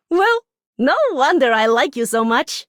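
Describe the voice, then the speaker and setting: A woman's voice: high-pitched, sing-song, takes it slowly, a bit bright, slight background noise, exaggerated voice. A woman voicing a roguish, self-assured treasure hunter in a video game. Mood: teasing, fond. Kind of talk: video game character voice lines.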